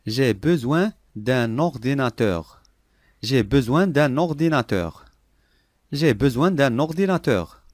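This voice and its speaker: A man reading short phrases slowly and clearly for learners, repeating each sentence three times. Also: medium pitch, lively intonation, a little mellow, no room sound, clean studio sound. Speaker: language lesson voice.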